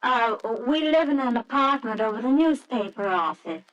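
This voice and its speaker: An actress playing an eager, anxious young wife hoping to adopt, in a 1940s radio drama style, impulsive and pleading. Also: female voice, fairly high pitch, animated, normal speed, dull audio, lightly acted. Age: young adult.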